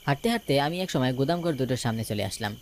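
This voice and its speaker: A man's voice: medium pitch, expressive, very fast, a little hiss or hum. A man narrating a scripted first-person ghost story as a dramatic storyteller. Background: music.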